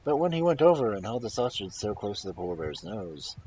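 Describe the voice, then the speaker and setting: A lowish-voiced man reads folktales aloud with a lively, swooping delivery. He leans on key words, leaves dramatic pauses and slips into casual asides, over clear background noise. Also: rough recording. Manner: animated, dramatic pauses and emphasis. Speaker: storyteller and host of a live reading stream.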